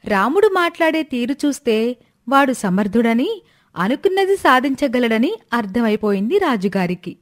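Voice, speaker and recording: A woman narrating a scripted moral story in an expressive storytelling style. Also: fairly high pitch, moderate pace. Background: background music.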